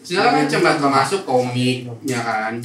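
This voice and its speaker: A man's voice: mid-range voice, lively intonation, normal speed, a bit bright. A man with a Jakarta accent hosting a casual group chat, asking questions in a teasing, slangy, jokey way. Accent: Jakarta Indonesian. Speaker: podcast host asking questions. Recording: echoey room, distant mic, faint noise.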